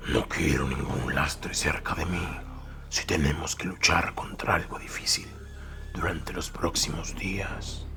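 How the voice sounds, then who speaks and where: A man voicing a brutal, hard armored killer in an audio drama, with a harsh, contemptuous, breathy growl. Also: extremely low pitch, unhurried, in a whisper, pitched below the performer's own voice, exaggerated voice. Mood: grim contempt. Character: brutal hard male killer, armored warrior who loves killing. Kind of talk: audio drama character acting.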